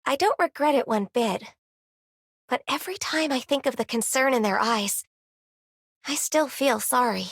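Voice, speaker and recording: A woman's voice: high-pitched, lively intonation, normal speed, long pauses, a bit bright, no room sound, full character voice. An actress voicing an earnest, self-reliant, wistful young woman in a video game. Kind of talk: scripted game character lines.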